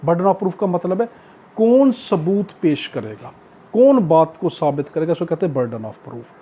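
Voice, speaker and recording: A man's voice: on the high side, mellow, breathy edge. A man with a Pakistani accent giving an explanatory law lecture for exam students, repeating key points and often checking that listeners follow. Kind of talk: exam-prep law lecture with worked examples. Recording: dull lo-fi audio.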